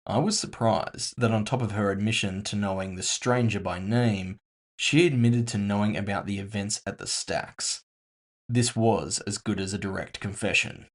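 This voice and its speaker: A man's voice: fairly low pitch, normal speed. A man narrating scripted first-person audio fiction as the storyteller.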